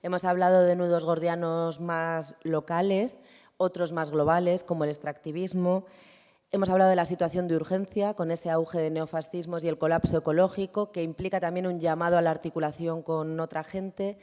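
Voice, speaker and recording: A woman moderating an assembly, summarizing the debate briskly in a conference hall. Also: on the low side, flat delivery, mellow. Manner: brisk, organizing. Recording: reverberant hall.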